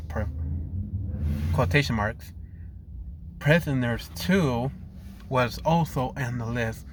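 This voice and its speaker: A man's voice: medium pitch, noisy background. A man narrating a fan story off the cuff while losing his voice, stopping and correcting himself often. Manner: halting, frequent restarts and self-corrections.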